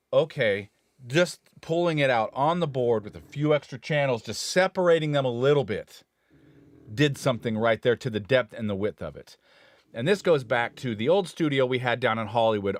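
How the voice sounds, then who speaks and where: Male studio engineer co-host, mid-pitched, rambling and enthusiastic, dry close sound. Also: dry recording. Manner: rambling, self-correcting, enthusiastic about gear. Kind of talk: casual live-stream gear chat.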